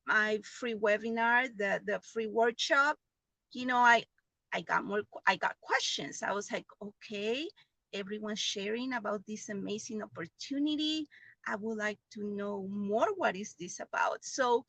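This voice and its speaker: Woman, non-native English accent, higher pitch, very bright tone, earnest halting delivery, informal personal testimonial. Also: no room sound. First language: not English. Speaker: online business member giving a testimonial.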